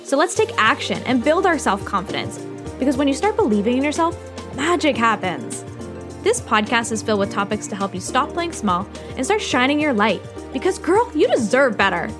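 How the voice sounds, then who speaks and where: A woman's voice: high voice, very animated, fairly quick. A woman host reading an upbeat, motivational podcast introduction. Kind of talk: scripted show intro. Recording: intro with music behind. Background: music.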